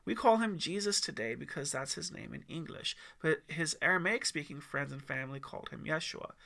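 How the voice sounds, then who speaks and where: A man voicing a mysterious, kindly mountain man in an audiobook, gently instructive and measured. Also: a little high, animated, gravelly. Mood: patient.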